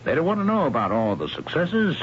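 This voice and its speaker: An actor playing a brooding, obsessive middle-aged engineer husband in a theatrical, grandiloquent old-time radio style. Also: male voice, fairly high pitch, very animated, a little fast. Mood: bitterly dramatic. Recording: muffled, hissy vintage radio recording.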